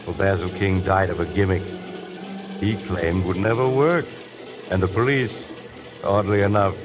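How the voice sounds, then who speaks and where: A sinister, urbane traveler hosting vintage radio horror, wry and insinuating. His voice is low and very unhurried, on a dull old recording with music behind. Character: sinister, urbane male train traveler telling macabre tales. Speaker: host narrator of a mystery anthology.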